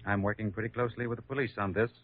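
An actor with an American accent playing a brisk, skeptical insurance investigator in an old-time radio drama, clipped and businesslike. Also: male voice, on the low side, steady tone, natural voice. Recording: muffled vintage radio recording.

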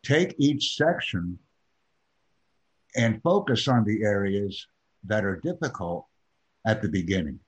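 A man teaching an IELTS preparation class in a measured, emphatic way. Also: on the low side, lively intonation, slightly rough. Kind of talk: classroom instruction.

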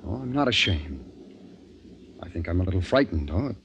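An obsessed, uneasy scientist in a 1950s radio play. His voice sits a little low, and he speaks with insistent, halting intensity. Faint noise runs through the old recording. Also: very animated, creaky, a bit bright, exaggerated voice. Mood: tense, focused. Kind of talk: 1950s radio drama acting.

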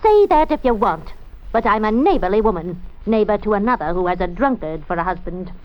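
A woman's voice: mid-range voice, big pitch swings, brisk, natural voice. Actress doing nosy scolding neighbor lady, old radio drama, voice goes up and down a lot, dull sound. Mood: indignant, insistent. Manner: insistent, scolding. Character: nagging, indignant neighbor busybody woman. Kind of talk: classic radio drama acting. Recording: muffled old radio recording.

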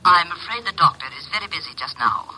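An actress playing a polite, flustered receptionist in an old radio drama, heard as over a telephone. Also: female voice, lowish voice, expressive, fast, close to an everyday voice, poor audio. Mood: polite, brisk. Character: polite, flustered sanitarium receptionist, a young woman. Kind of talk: 1950s radio drama acting.

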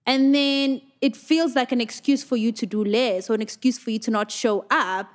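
A woman with a fairly high voice, co-hosting a relaxed couples' Q&A. She reads the questions aloud and chimes in with warm agreement before adding her own view. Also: moderate pace. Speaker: podcast co-host, entrepreneur. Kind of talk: casual couple Q&A chat.

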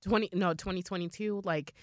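A woman's voice: on the low side, steady tone, fairly quick, no room sound, studio quality. A young adult woman co-hosting a podcast, talking candidly in a casual chat, blunt and swearing freely with lots of "like" fillers. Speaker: podcast co-host.

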